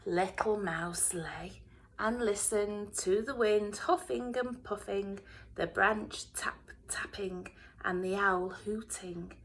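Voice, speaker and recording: A woman reading a children's storybook aloud in a dramatic way, often dropping into hushed whispers. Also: mid-range voice, slow pace. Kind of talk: expressive children's storybook narration.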